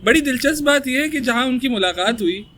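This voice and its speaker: A man's voice: very high voice, lively intonation, brisk. A man hosting a casual chat, easygoing and bantering, laughing often. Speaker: podcast host, channel owner. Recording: noisy background.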